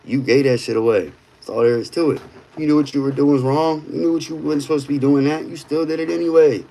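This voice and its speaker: A man's voice: fairly high pitch, fairly even intonation, fast talker, vocal fry, mellow, slight background noise, rough recording. A man in his thirties talking bluntly in casual streetwise slang on a livestream. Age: 30s. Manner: blunt, streetwise, peppered with "bro".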